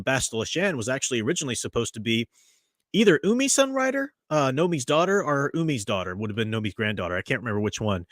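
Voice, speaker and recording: A man's voice: medium pitch, no echo. A man talks casually from home as a podcast host. He rambles, circling back with fillers and restarts, in an ordinary mid-range voice. The sound is close and dry. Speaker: podcast host reviewing an audio drama. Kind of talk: casual review chat.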